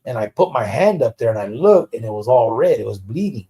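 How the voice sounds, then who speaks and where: A man's voice: mid-range voice, sing-song, fairly quick, mellow. Guy from the us on a live podcast, blunt street talk, cusses, mixes in slang. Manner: slips Spanish slang into English. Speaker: podcast host. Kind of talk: live stream casual chat, reading out viewer comments.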